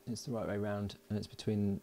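A man with a fairly low, gravelly voice talks through hobby work at a bench in a casual, halting way. He sounds like he is thinking aloud, informally, with a faint noise in the background. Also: level tone, mellow. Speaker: electronics hobbyist presenting a build. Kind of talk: casual hands-on tutorial commentary. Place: indoors at a workbench.

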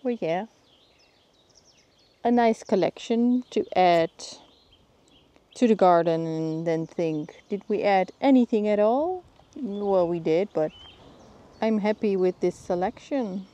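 A Dutch woman filming herself outdoors in her garden with a handheld phone. She talks casually and often pauses to search for plant names. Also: medium pitch, dark tone. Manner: searching for words, thinking aloud. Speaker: gardening vlogger.

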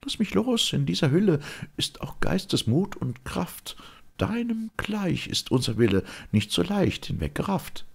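A man voicing a defiant, spirited young maiden who declaims verse forcefully. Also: sits high, very animated, measured pace, gravelly, husky, pitched above the performer's own voice, exaggerated voice.

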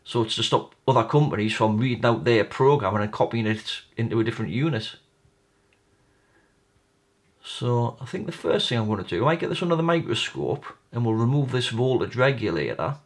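A man's voice: medium pitch, lively intonation, brisk. A man giving a casual hands-on repair walkthrough, informally explaining each step and thinking aloud. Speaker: hobbyist electronics repair presenter.

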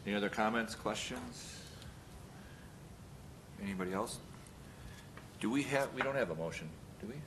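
A man chairing a city council meeting, brisk and procedural. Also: on the low side, lively intonation, noisy background. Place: council chamber.

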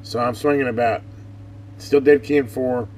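A man's voice: medium pitch, steady tone, moderate pace. A man giving a casual, folksy hands-on bench demonstration as host of an electronics repair channel. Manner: folksy, informal talk. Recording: distant mic, noisy with loud hum.

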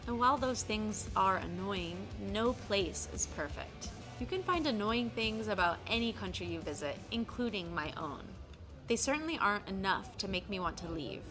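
A woman in her thirties from the United States, narrating a personal travel vlog in an earnest, reflective, measured way. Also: medium pitch, expressive. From United States (Colorado). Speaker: travel vlogger. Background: soft music bed.

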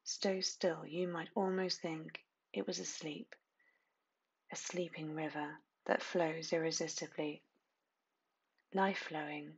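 A woman reads a hypnotic guided meditation in a low voice with a husky, breathy edge. Her delivery is very slow and hushed, with phrases repeated soothingly between long pauses. The recording sounds dull and muffled. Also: fairly even intonation.

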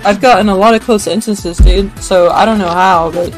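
Female game streamer, average pitch, informal conversational delivery over light music. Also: steady tone, moderate pace. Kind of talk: casual chat while gaming.

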